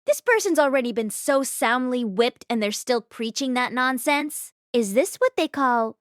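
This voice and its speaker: A woman's voice: high voice, lively intonation, normal speed, no echo, clean studio sound. A woman voicing a rude, belligerent swindler thug with brash scorn in a fantasy storybook. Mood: scornful.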